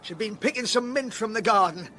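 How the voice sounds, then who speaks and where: A man's voice: sits high, brisk, sharp tone, slight background noise. An actor playing a genteel, affable male village vicar in a radio drama, fussy, polite and hesitant.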